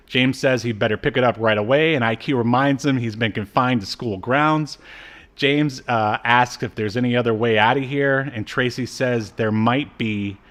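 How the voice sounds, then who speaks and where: A man's voice: mid-range voice, fairly bright tone. A man co-hosting a podcast, chatting casually and recapping a show in a sardonic, jokey way. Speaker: podcast co-host. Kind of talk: casual comedic chat recapping a cartoon.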